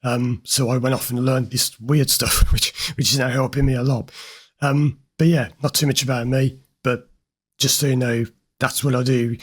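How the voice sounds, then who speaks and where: A husky, slightly hoarse man talking straight to his viewers. He explains what he hears in a client's words, direct and a little amused. His voice sits at an ordinary middle pitch for a man. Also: flat delivery, normal speed. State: slightly hoarse from recording many videos. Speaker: host, therapist analysing a recorded session. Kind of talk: commentary over an interview clip.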